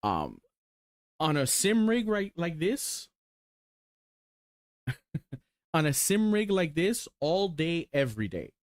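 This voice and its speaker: A man in his forties chatting casually and excitedly about his sim racing cars. He rambles, swears casually and fills pauses with lots of ums. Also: on the high side, no room sound, studio quality. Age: 40s. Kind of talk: casual chat while showing cars.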